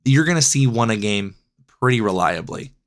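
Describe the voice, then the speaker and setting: A man with a raspy voice, hoarse from a cold, casually breaking down card game decklists as a podcast host, often correcting himself mid-sentence. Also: lowish voice, lively intonation, moderate pace. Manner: loose, rambling with frequent self-corrections. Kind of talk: casual deck analysis.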